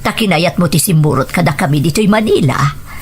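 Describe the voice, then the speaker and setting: A woman's voice: on the low side, expressive, a little fast, slight background noise. A woman playing a kindly, affectionate city aunt in a scripted audio drama. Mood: affectionate, welcoming.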